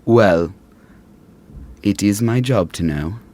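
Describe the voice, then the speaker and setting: A man's voice: on the low side, takes it slowly, mellow. A man playing a dutiful, unyielding royal advisor in a modern audio drama, formal and wry, deferential yet pointed. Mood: brisk, exasperated.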